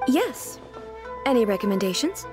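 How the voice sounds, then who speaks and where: A high-voiced divine female ruler in a video game. She is composed and formal, yet naively curious, with a very animated melody. Faint music sits behind her. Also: normal speed. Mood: calm, assured. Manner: formal, composed, literal-minded. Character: dignified female deity ruler, earnest, naively curious about human life.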